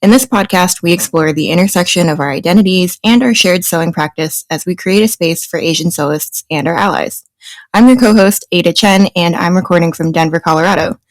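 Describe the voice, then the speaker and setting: A woman's voice: lowish voice, fairly quick, no room sound. A young woman from New Jersey co-hosting a casual podcast, reading a scripted intro and then chatting loosely with fillers. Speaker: podcast co-host.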